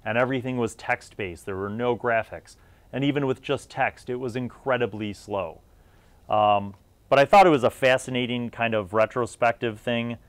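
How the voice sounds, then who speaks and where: A man's voice: medium pitch, fairly even intonation, normal speed, no room sound. A middle-aged man hosting a video series, talking to viewers in a conversational, emphatic way with trailing asides. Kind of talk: retrospective review talk to viewers.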